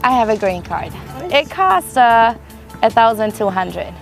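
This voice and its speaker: A woman's voice: on the high side, lively intonation, a little slow, gravelly, soft background music. A woman in her twenties from Germany, talking casually about herself in an interview. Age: 20s. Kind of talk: casual personal interview account.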